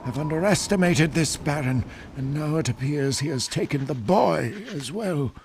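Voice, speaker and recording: A man's voice: a little high, moderate pace, a little mellow, a little hiss or hum, lightly acted. A man voicing a grave, earnest nature sage and rebel leader in a video game, solemn and dramatic. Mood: dismayed, brooding. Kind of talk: animated video game acting.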